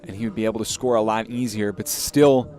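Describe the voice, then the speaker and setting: Low-voiced male baseball color commentator. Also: steady tone, a little fast, a little mellow. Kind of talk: live sports analysis commentary.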